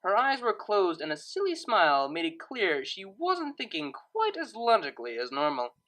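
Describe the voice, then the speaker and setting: A woman reading a story aloud as narrator, in expressive scripted narration. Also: medium pitch, moderate pace.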